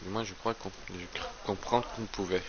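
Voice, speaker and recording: A man's voice: low voice, fairly even intonation, normal speed, noisy background. Man with a cold rambling over a stream. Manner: rambling, stammering with repeated words. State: has a cold, slightly hoarse. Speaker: game streamer. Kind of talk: casual let's play commentary. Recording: slightly dull lo-fi stream audio.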